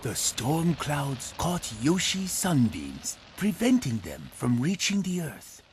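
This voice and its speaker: A storyteller giving a scripted folktale narration with dramatic, deliberate phrasing. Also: medium pitch, huge pitch swings, heavy background noise. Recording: music behind the narration. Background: prominent music.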